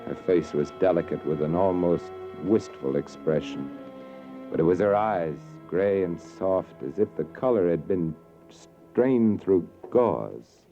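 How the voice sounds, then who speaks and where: A man's voice: deep voice, lively intonation, unhurried, dark tone. A man playing a cynical, world-weary newspaper columnist, narrating with wry, brooding literary delivery in 1940s radio noir. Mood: uneasy, intent. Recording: muffled 1940s radio broadcast, music underneath.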